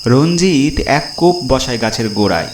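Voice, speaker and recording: A man's voice: mid-range voice, expressive, normal speed, background noise. A man narrating a scripted horror story in a dramatic storytelling style. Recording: roomy echo, loud music. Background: loud background music.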